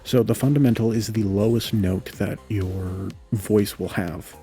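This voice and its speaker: Low, gravelly young man explaining audio. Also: measured pace. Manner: relaxed, thinks aloud with self-corrections and filler "you know". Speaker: podcaster explaining audio mixing. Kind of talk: casual spoken tutorial. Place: home room with a fan running. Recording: home studio mic with quiet fan noise and faint music.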